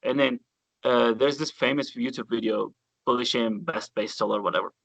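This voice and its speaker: A man with a Turkish accent, a bassist guest telling stories in a relaxed interview with loose grammar and casual swearing. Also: brisk, gravelly, no room sound, low-quality recording. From Turkey.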